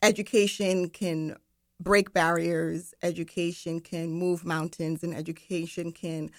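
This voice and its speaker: A woman's voice: on the low side, little pitch movement, unhurried, no room sound. A young woman from Barbados, guest on a studio radio interview, chatty with many ums and laughs. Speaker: guest, marketing director. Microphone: studio microphone.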